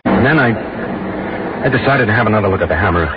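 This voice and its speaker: Low-voiced actor as a frightened would-be killer, old radio. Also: male voice, expressive, lightly acted. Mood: grim, nervous. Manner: tense, brooding, halting confessional storytelling. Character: frightened reluctant man lying in wait to kill. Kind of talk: 1940s radio drama acting, first-person narration. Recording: noisy, muffled 1940s radio recording.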